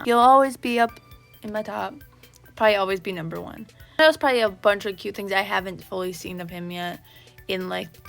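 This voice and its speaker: Rambling, creaky-voiced woman gushing as a fan. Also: mid-range voice, a bit bright. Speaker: YouTube vlogger. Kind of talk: casual fan chat. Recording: background music under the voice. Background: video game background music.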